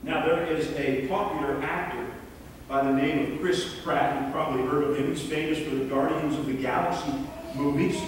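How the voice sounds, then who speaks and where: A middle-aged man preaching a sermon from the pulpit of a church, earnest and measured, sometimes repeating his words. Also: fairly high pitch, steady tone, slight background noise. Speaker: pastor. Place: church sanctuary, large. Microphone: far camera in the pews. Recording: echoey sanctuary from far off.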